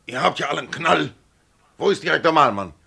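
An actor playing a stubborn, indignant tram driver in a German radio play, protesting bluntly and colloquially. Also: male voice, a little high, expressive, fast, vocal fry, bright, forceful tone, breathy edge, exaggerated voice. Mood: furious, defiant.

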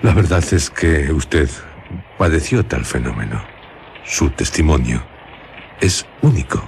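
A grave gentleman questioner from a gothic radio play, with a Spain accent. His voice is extremely deep. He speaks deliberately, over faint background noise. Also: animated, heavily acted. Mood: grave, inquiring. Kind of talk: radio drama acting.

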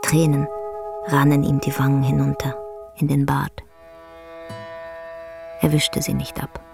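A woman narrating a literary radio drama in a solemn, measured way, with a breathy, half-whispered voice. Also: very deep voice, completely flat delivery, over music, heavily acted. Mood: solemn, sorrowful. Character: solemn, tender storyteller of a sad tale.

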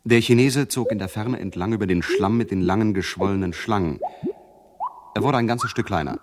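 An actor playing an explorer who recounts a surreal jungle expedition in an incantatory, literary way, in an experimental radio play. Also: male voice, lowish voice, a little fast, close to an everyday voice. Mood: absorbed, descriptive. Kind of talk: experimental 1970s radio drama narration. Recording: very noisy old radio production.

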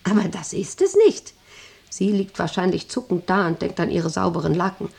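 An actress playing a middle-aged, self-important and lonely factory director in a radio drama, rambling intimately and capriciously with a creaky voice. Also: female voice, lowish voice, fairly even intonation, moderate pace, a little mellow. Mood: bitter, accusing. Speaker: actor in an audio drama. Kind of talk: 1990s German radio drama acting, monologue-like.

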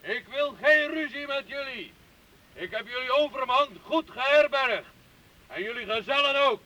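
An actor playing a proud, commanding medieval knight who declaims formally in a Dutch radio play. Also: male voice, extremely high pitch, animated, moderate pace, sharp tone, heavily acted. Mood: formal, bargaining. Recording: dull lo-fi old radio recording.

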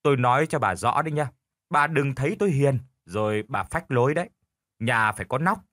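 A gruff older husband from an audio storybook, acted by a male narrator, stubborn and domineering. He grumbles and scolds with lively swings in pitch, recorded dry and close. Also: brisk, no echo. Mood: gruff, threatening.

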